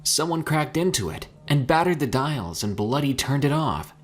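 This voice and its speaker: A man voicing Mika, a quick-tempered young man shouting urgently into a radio. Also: mid-range voice, very animated, soft background music, voice pushed up, lightly acted. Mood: angry.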